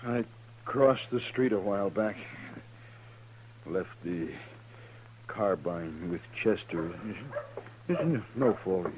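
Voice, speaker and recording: Wounded frontier lawman, resigned and labored, drawn-out low delivery, vintage radio. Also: expressive, darkish tone, low-quality recording. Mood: weak, resigned. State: weak, labored, halting speech. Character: dying loyal Old West lawman, man, resigned. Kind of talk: 1950s radio western acting. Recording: old radio recording, faint noise.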